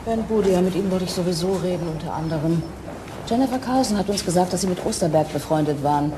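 An actress playing a sceptical police investigator in a crime drama, businesslike and direct. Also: female voice, lowish voice, fast talker, noisy background, natural voice. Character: matter-of-fact, sceptical female police investigator. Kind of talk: crime drama acting in German.

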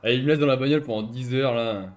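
A man talking casually through a role-play game session. His pitch sits in the middle, and he comes across as sarcastic and quick to argue.

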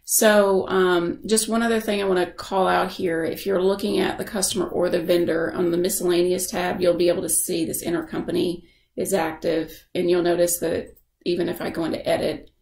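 A woman giving a casual software tutorial as a screen-share voice-over, explaining informally and thinking aloud. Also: lowish voice, flat delivery, brisk, vocal fry, reverberant. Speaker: software instructor.

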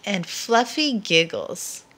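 Animated woman reading a children's storybook. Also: mid-range voice, slight background noise. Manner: deliberate, dramatic emphasis for young listeners. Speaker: storyteller reading a picture book aloud. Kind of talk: expressive children's read-aloud narration.

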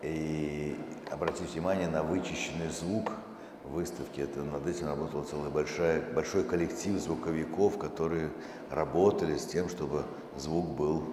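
A middle-aged man giving a live presentation to an audience, explaining things in a halting way through a handheld microphone on stage. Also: very deep voice, fairly even intonation, background noise. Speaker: museum director presenting an exhibition project. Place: large dark auditorium stage, indoors. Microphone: handheld microphone through hall speakers. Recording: echoey hall with long reverb, loud music. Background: archival music playing.

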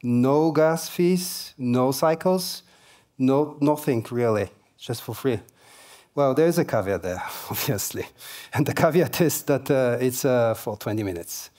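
A middle-aged man giving a keynote on a large conference stage, talking through a headset mic. His voice sits at an ordinary middle pitch. He speaks casually and haltingly, with plenty of ums and small jokes. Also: a little mellow. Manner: casual, halting, many fillers, light humor.

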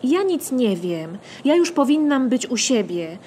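A woman's voice: high voice, big pitch swings, a little slow, warm tone, slight background noise. An actress playing a timid, earnest little girl in a children's radio play, with a childlike, hesitant manner.

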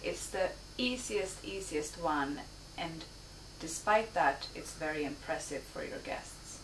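A woman in her thirties from Sweden hosting a home cooking show, calmly explaining each step as she cooks in her kitchen. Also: low voice, slow. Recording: echoey kitchen, distant mic, noisy.

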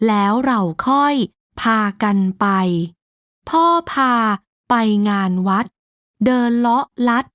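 A woman narrating a rhyming children's storybook in a slow, measured, sing-song way. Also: on the high side, fairly bright tone, no echo, clean studio sound.

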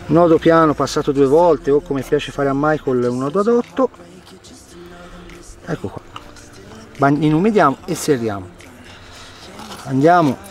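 A middle-aged man hosting a fishing vlog by a lake, explaining his rig step by step in a lively, chatty way. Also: fairly high pitch, far from the mic. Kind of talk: outdoor fishing tutorial and chat. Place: outdoors by a lake. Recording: background music under the voice.

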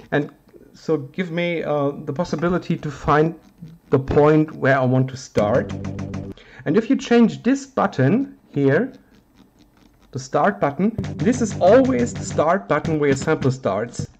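A man with a German accent, a native German speaker, giving an informal hands-on synthesizer tutorial, haltingly searching for words in non-native English. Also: on the high side, gravelly, dull audio.

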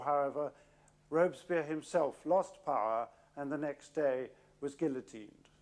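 A man in his fifties with an English accent presenting a documentary in an articulate, scholarly, measured way. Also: a little high.

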